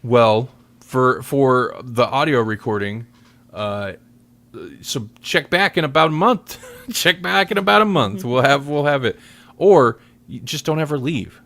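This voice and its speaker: A man hosting a casual podcast chat, often halting and thinking aloud as he talks. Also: mid-range voice, expressive, a bit bright. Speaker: podcast host.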